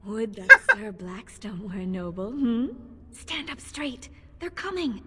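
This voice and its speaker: A woman's voice: on the high side, big pitch swings, measured pace. An actress playing a choosy female dwarf social climber in a video game, snooty and conspiratorial, in noisy reverberant sound. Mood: scheming.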